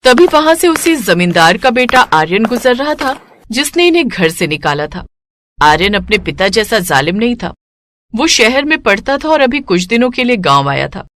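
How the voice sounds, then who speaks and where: A woman's voice: a little high, big pitch swings, moderate pace, fairly bright tone. A woman narrating a scripted moral story as a storyteller.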